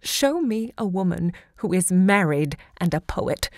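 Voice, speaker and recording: An actress playing a self-assured, romantic literary hostess and seductress in an audio drama, theatrical and eloquent in delivery. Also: female voice, fairly low pitch, big pitch swings, unhurried, a little mellow, no echo, studio quality. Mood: grand, visionary.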